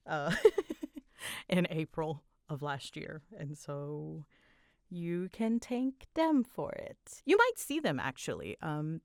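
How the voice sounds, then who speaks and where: Young female creator, casual Q&A chat, a touch low-pitched, informal and giggly, very dry sound. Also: no echo. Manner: self-deprecating, giggly, informal. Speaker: voice actor and channel creator. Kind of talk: casual solo Q&A chat to listeners.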